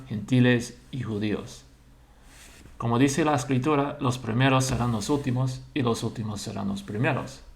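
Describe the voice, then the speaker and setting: A man, a church member slowly sharing his religious thoughts and pausing to search for words in a language he learned later. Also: medium pitch. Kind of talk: slow personal religious monologue.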